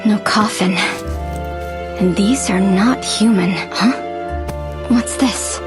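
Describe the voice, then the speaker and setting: An actress playing a wary, inquisitive young journalist, pensive and questioning, in a video game cutscene. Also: female voice, mid-range voice, takes it slowly, over music, natural voice. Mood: puzzled, alarmed.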